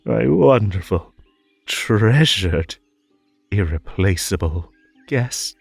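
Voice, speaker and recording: An unhinged, possessive hare host for a theatrical audio drama. The voice is gravelly and creaky, leaping all over in pitch, in a close, dry sound. He stammers and giggles, veering between gushing sweetness and menace. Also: animated, slow, no room sound, faint music behind, full character voice. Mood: gushing, flirty.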